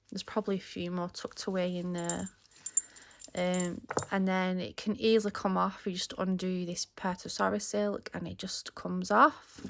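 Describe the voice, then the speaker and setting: Slow-paced, halting woman chatting about crafts. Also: mid-range voice, gravelly, fairly bright tone, slight background noise. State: slightly losing her voice, clearing throat. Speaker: crafter presenting her handmade journal. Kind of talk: casual craft show-and-tell vlog.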